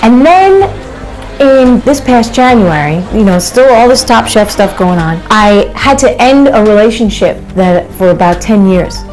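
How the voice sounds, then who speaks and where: Woman telling her story over soft music. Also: fairly high pitch, lively intonation, unhurried, rough recording. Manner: conversational, many fillers like "you know" and "uh". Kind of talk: personal account in an interview.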